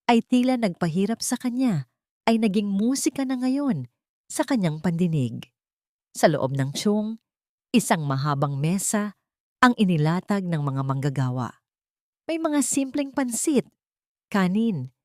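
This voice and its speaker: A woman narrating a scripted love story in a measured, sentimental, dramatic storytelling style. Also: lowish voice, darkish tone, no room sound, studio quality.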